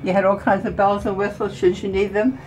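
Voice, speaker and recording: An older woman interviewed in a small ship cabin, answering in a halting way, hunting for words and restarting. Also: medium pitch, flat delivery, darkish tone, slight background noise, rough recording. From New York area. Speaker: interviewee, cruise passenger. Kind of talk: casual chat. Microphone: handheld camera close up.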